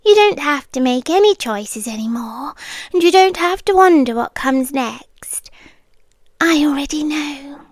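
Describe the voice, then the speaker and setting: A woman voicing a possessive, obsessive hive queen in an audio roleplay, speaking slowly and intimately, often in a whisper, sweet and quietly threatening. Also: high voice, lively intonation, no echo, clean studio sound. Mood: possessive, doting.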